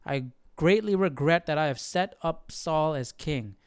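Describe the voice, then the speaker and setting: A man's voice: on the high side, measured pace, clean tone, no echo, clean studio sound. A man hosting a casual live study stream, reading aloud and questioning things as he thinks them through. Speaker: host of a Bible study stream.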